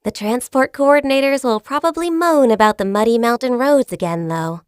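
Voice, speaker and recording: Casting: actress as a high-voiced, shy, dutiful young game secretary, soft and earnest, dry studio read. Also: female voice, normal speed, smooth, no echo, natural voice. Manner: soft-spoken, earnest, a little hesitant. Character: gentle, shy, diligent young female secretary. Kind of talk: video game character voice lines. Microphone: close studio mic.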